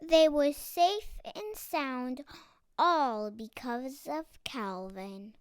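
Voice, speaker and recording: A child reading a children's picture book aloud with deliberate, dramatic emphasis. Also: high voice, smooth, dry recording. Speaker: storybook reader.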